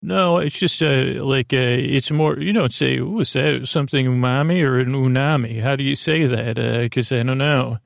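A man with a heavily creaky, gravelly voice, recorded close and dry. He hosts a rambling bedtime podcast, improvising as he goes, halting often, circling back and leaving thoughts unfinished. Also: mid-range voice, no room sound. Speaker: podcast host.

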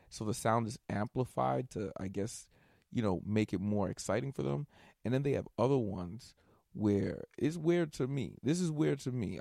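A man's voice: medium pitch, gravelly, dry recording, studio quality. A young man hosting a solo podcast, rambling casually and swearing, tired from staying up all night. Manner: rambling, profane, animated asides. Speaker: podcast host.

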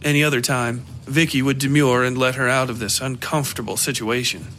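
A man narrating scripted dark fiction for a podcast. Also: medium pitch, fairly even intonation, a little fast.

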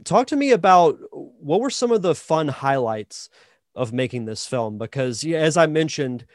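Man, podcast interviewer. Mid pitch, dry sound, conversational and chatty, thinks aloud as he goes. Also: no echo.

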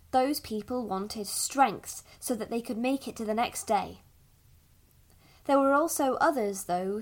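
A woman acts a patient mother in a fantasy audio drama. Her voice sits a little high, and she chooses her words with care as she gently explains things to a small child. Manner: careful, measured explaining with thoughtful hesitations.

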